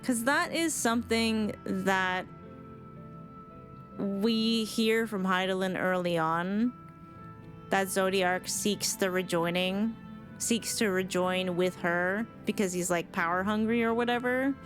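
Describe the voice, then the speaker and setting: Girl streamer reacting to a game, casual rambly sweary, gets emotive, soft music underneath. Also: mid-range voice, takes it slowly. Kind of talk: casual live commentary.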